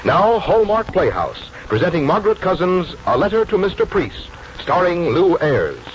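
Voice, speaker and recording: A man's voice: high voice, very animated, moderate pace, background noise. An American man reading formal, polished announcements and ads as a 1940s radio announcer. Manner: formal, polished sales delivery. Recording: muffled 1940s radio broadcast, music.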